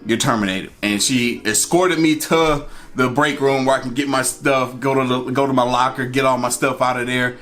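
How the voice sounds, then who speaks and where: A man's voice: mid-range voice, normal speed. An American man telling a personal story in a casual, conversational way, acting out bits of dialogue and repeating phrases for emphasis. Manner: animated retelling, acting out dialogue. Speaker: storyteller and vlog host.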